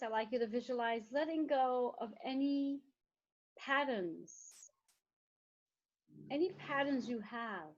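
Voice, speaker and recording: Meditation guide: woman with a slightly high voice, soothing and repetitive, on a dull lo-fi recording. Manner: slow, soothing, repetitive phrasing.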